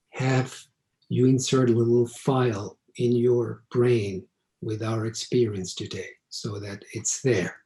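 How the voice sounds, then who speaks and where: Man interviewer, soft and affirming, very slow delivery, roomy echo. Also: fairly low pitch. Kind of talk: interview questions and backchannel. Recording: echoey room.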